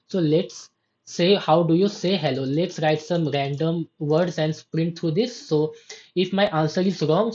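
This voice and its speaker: Woman, deep voice, software walkthrough, explanatory, restarts sentences, dull recording. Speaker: app developer giving a demo.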